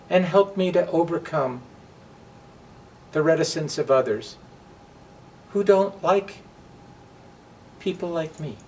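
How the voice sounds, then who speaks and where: A man speaking earnestly and deliberately to viewers as a storybook reader, with emphatic phrasing. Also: on the high side, a little mellow. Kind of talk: read-aloud storytime and personal address to viewers. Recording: distant mic with faint background noise.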